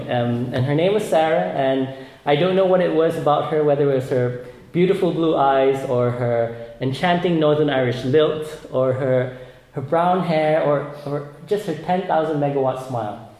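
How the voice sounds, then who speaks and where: A man's voice: fairly high pitch, darkish tone, echoey room, rough recording. A man from Malaysia preaching a sermon, earnest and often repeating words as he builds his points.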